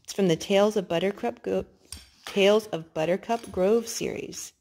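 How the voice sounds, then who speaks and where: A woman reading a picture book aloud to a young child, gently asking him questions between pages. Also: mid-range voice, normal speed, vocal fry. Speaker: storyteller.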